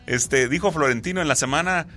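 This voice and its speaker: A man's voice: a little high, brisk, bright, forceful tone, soft background music. A man co-hosting a casual football chat, explaining at length with lots of fillers and restarts. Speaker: sports talk co-host.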